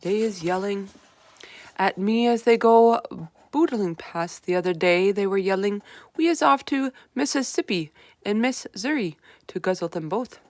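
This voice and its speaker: A woman's voice: mid-range voice, unhurried, dry recording, pitched above the performer's own voice, lightly acted. Woman voicing a stubborn, folksy, kindly storybook giant. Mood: resigned. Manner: folksy, ungrammatical, mangled invented words. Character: stubborn, sad, kindly male giant, muddled speech. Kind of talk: children's storybook read-aloud.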